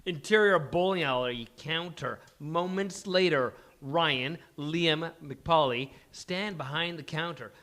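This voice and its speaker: A man narrates stage directions at a live table read. His voice is fairly high and bright, with a scripted reading delivery. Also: slow, hardly any pauses.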